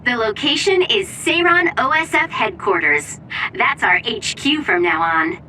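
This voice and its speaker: Bright young comms operator, high animated voice, slightly distant sound. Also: female voice, unhurried, a little hiss or hum. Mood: chipper. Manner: chipper, briefing tone. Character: cheerful young female platoon operator.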